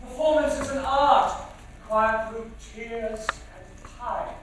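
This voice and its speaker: An actor playing an exuberant, bossy young theatre coach, speaking in a florid, declamatory, formal stage manner. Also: male voice, extremely high pitch, steady tone, slow. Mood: instructive. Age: young adult. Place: theatre stage in live production. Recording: echoey theatre stage, distant mic, faint hiss.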